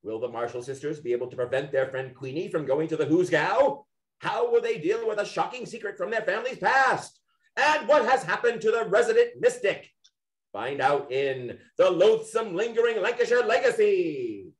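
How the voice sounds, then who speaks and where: A man's voice: sits high, lively intonation, normal speed. A man announcing a mystery play in theatrical scripted narration.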